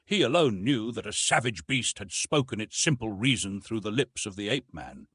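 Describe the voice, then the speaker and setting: A man narrates an adventure audiobook with wide, expressive swings of pitch. His voice is a little husky at a medium pitch, and the recording is close and dry. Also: moderate pace, no echo. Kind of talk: scripted literary narration.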